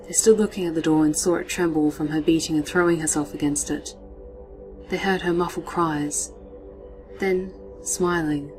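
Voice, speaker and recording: A woman reads a short story in a low, hushed voice, often sinking to a near whisper. Her pacing is dramatic and unhurried. Soft music plays faintly behind her. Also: rough recording.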